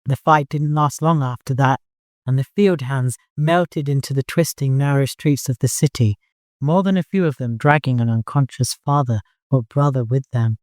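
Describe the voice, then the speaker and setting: A man narrating fiction as an audiobook, in an expressive, dramatic storytelling manner. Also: medium pitch, moderate pace, no room sound, clean studio sound.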